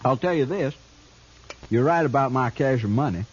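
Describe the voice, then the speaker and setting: A man's voice: medium pitch, lively intonation, moderate pace, close to an everyday voice. Man acting a sly, swooping-voiced frontier outlaw. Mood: wry, bitter. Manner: taunting, conversational swagger. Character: sly, self-assured frontier outlaw, greedy and sardonic. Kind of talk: 1950s radio drama acting. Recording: scratchy, muffled 1950s radio recording.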